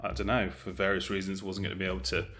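A man's voice: low-pitched, monotone, fast talker, a bit bright. A man chatting casually as an interview guest, speaking in a hesitant, self-effacing way with trailing phrases. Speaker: guest, audio drama director and producer.

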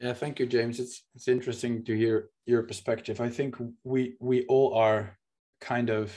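A man's voice: medium pitch, flat delivery, moderate pace, dark tone. A man from the Netherlands with a Dutch accent, a native Dutch speaker, hosting a podcast in earnest, searching talk with frequent fillers. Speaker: podcast host. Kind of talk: reflective podcast discussion.

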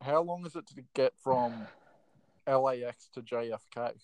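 A man's voice: medium pitch, moderate pace, darkish tone, dry recording. An Australian man talks casually as a podcast guest, spinning stories with ease. His voice sits in the middle range and sounds dry and close. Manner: chatty, tells stories readily. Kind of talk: casual chat.

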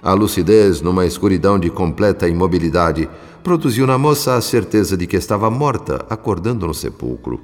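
An actor playing a solemn, compassionate spirit mentor, narrating a radio drama in formal, measured phrases. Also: male voice, deep voice, expressive. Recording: long reverb, music underneath.

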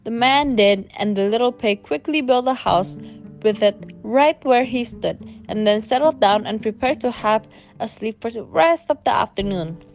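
High-voiced woman reading bedtime stories. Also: animated, moderate pace, darkish tone, faint music behind. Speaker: storyteller.

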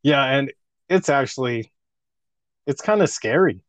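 A man in his thirties co-hosting a podcast, unhurried and drawn out in his delivery. He teases his co-host with crude jokes and laughs a lot. His voice sounds close and dry, with no room around it. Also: mid-range voice, lively intonation, no echo. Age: 30s. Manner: joking, crude teasing, laughs often. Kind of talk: casual banter podcast.

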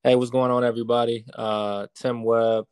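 A man in his thirties, a real estate investor, answering interview questions in a relaxed, casual way with many fillers. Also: mid-range voice, normal speed, clean tone, no echo. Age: 30s.

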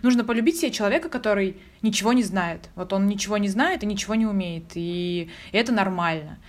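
A woman co-hosting a podcast, adding her own points in a lively, encouraging chat. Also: mid-range voice, quick pace. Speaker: podcast co-host.

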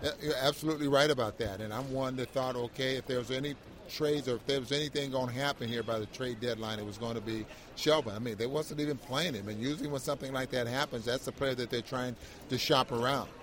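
Mid-pitched man analysing a game. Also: fast, a bit bright. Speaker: sports radio co-host, analyst. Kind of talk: conversational post-game analysis.

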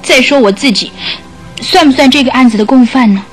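A woman's voice: high-pitched, fairly quick, breathy edge, faint music behind. An actress playing a sorrowful, guilt-ridden woman making an earnest confession in a radio drama. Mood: remorseful. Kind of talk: Mandarin radio drama acting.

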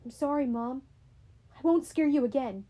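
A woman's voice: high voice, moderate pace, mellow, lightly acted. A woman voicing a loyal, eager teenage boy who speaks earnestly.

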